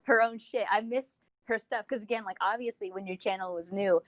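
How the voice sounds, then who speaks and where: A woman chatting casually as a podcast co-host, gushing and rambling like an excited fan, peppering her talk with "like". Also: mid-range voice, brisk, dry recording. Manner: gushing, rambling, frequent use of "like". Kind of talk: casual fan interview chat.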